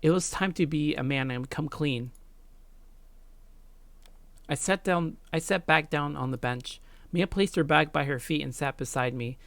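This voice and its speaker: A woman's voice: deep voice, measured pace, vocal fry, long pauses, fairly bright tone, no echo. A woman hosting a let's play, reading visual novel narration and adding casual gaming commentary, close on the mic.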